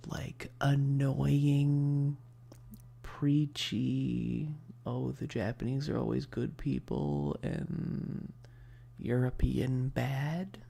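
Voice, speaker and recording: A soft-spoken ASMR livestream host who chats casually in a breathy voice that often drops to a whisper, rambling and hesitant in a self-deprecating way. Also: mid-range voice, steady tone, very slow.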